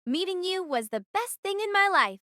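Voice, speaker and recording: A woman's voice: extremely high pitch, sing-song, normal speed, fairly bright tone, no room sound, studio quality, voice pushed up, exaggerated voice. A woman playing an earnest, tender young female military student, protective and resolute, in an audio drama. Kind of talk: dramatized audiobook character dialogue.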